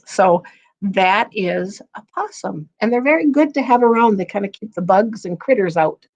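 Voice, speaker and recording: A woman reading and chatting for a children's storytime in a playful, expressive way. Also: mid-range voice, normal speed, dull audio. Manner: playful, expressive, sing-song when reading. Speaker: guest storyteller. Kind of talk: children's storytime read-aloud and casual chat.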